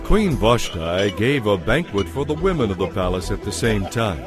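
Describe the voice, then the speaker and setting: A man's voice: on the low side, very animated, normal speed. A man narrating a dramatized audio Bible in a scripted, storytelling style. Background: music.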